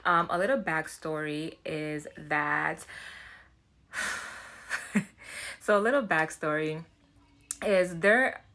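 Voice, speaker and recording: A woman's voice: deep voice, bright, forceful tone. A woman with a Haitian accent talking casually to camera as a self-development vlogger, chatty and earnest, often restarting and repeating words.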